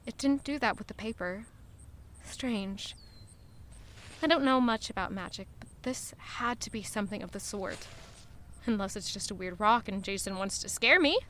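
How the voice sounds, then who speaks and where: A woman's voice: medium pitch, moderate pace, a bit bright, slight background noise, natural voice. A woman acting a spirited, guarded young noblewoman and lady-in-waiting, in audio drama dialogue and narration. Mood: wary, pondering.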